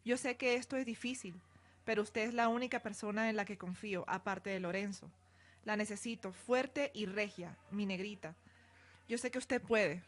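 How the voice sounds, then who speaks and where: A woman's voice: mid-range voice, fairly even intonation, moderate pace, close to an everyday voice. A woman acting a resolute, tender noblewoman in a student radio drama, earnest and dramatic. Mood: resolute, reassuring. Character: resolute, tender noblewoman and mother facing danger.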